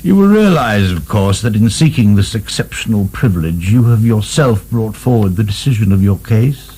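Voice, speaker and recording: A man's voice: lowish voice, expressive, normal speed, mellow, breathy edge, low-quality recording. A man acting in a radio drama as a cold, suave special investigator with a formal, pompous manner. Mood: coolly official.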